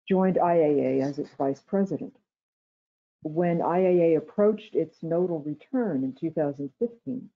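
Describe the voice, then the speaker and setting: A woman serving as education director, giving a prepared, soft-spoken welcome speech for a graduation ceremony on a video call. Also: sits low. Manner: soft-spoken, measured, read-aloud delivery. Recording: tinny phone-like video call audio.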